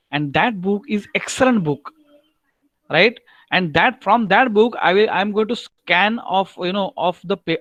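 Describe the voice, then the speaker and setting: Marathi-accented male teacher, higher-pitched voice, patient and repetitive, dry computer-mic sound on a video call. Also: fairly bright tone, no echo. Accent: Marathi-accented Indian English. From Maharashtra, India. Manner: repeats himself, keeps asking "right?", spells out German words slowly. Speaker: German language teacher. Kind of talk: online lecture to a class.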